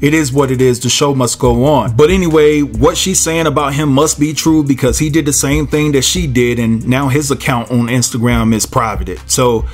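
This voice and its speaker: Man, nasal head cold, mid-pitched, casual commentary host, faint music underneath. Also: steady tone, moderate pace, hardly any pauses. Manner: casual, addresses viewers as y'all and guys. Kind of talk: reading a court document aloud, then commentary.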